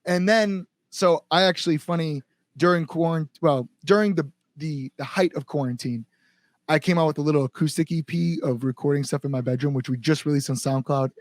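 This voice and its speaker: Male, American, slightly high voice, casual band-singer podcast guest, animated storyteller, very dry sound. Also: brisk, no echo. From Chicago area, United States. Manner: animated storytelling, quotes people, frequent "like", informal swearing.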